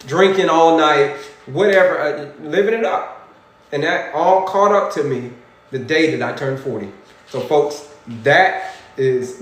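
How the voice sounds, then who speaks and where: A man in his forties, an energetic health coach hosting a livestream, animated and repetitive as he preaches to viewers and reads chat comments. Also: fairly high pitch. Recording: very echoey room.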